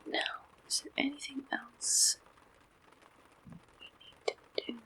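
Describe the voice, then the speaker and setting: A woman doing a gentle, polite ASMR roleplay as a friendly, helpful service clerk, speaking in soft whispers. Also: slow pace, rough recording. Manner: gentle, polite, attentive customer-service way of talking. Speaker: ASMR performer playing a dating service receptionist.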